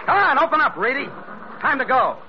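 Hardboiled ship captain, wisecracking, commanding, 1940s radio narration, muffled recording, clear noise. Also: male voice, very high-pitched, big pitch swings, brisk. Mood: urgent shouting.

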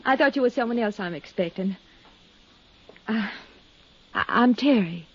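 An actress playing a young widow in a 1950s radio drama, fragile and gracious, effusive and eager to please. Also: female voice, fairly high pitch, animated, measured pace. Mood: gracious, chatty. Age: young adult. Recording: dull, slightly husky-sounding 1950s radio recording.

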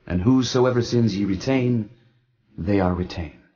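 A man voicing a calm, solemn risen teacher, speaking slowly with gentle authority in a dramatized scripture reading. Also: lowish voice, slightly rough, muffled recording.

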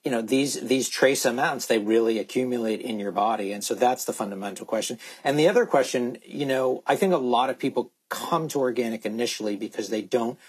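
A man speaking as a guest expert in a candid interview, halting and repeating words as he insistently makes his points. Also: mid-range voice, husky. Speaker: guest expert on organic food.

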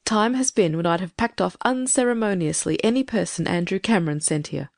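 A woman voicing a proud, frail elderly spinster who has been humbled by illness, speaking gently and reflectively. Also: medium pitch, fairly quick, vocal fry, no room sound, studio quality, natural voice. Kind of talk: audiobook character reading.

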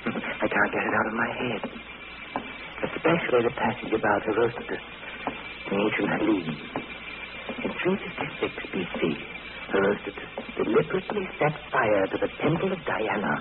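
Brooding, obsessive little man, swooping pitch. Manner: musing, theatrical monologue with swelling intensity. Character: gentle-seeming, graying little man turned obsessive would-be destroyer. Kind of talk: 1940s radio acting. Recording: tinny old radio recording, heavy noise.

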